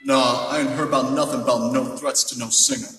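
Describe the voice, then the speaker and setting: A man playing a shifty lowlife street informant in a noir radio drama, slangy and ungrammatical. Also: fairly even intonation, fairly quick, a bit bright, soft background music, lightly acted. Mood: evasive. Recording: echoey hall, distant mic.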